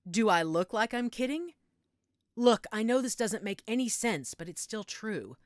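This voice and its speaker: A woman's voice: fairly high pitch, big pitch swings, moderate pace, no room sound, studio quality. A woman playing a frank, caring female werewolf in an audio roleplay, matter-of-fact and reassuring with a wry edge. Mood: insistent, matter-of-fact. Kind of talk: audio roleplay drama, one-sided conversation.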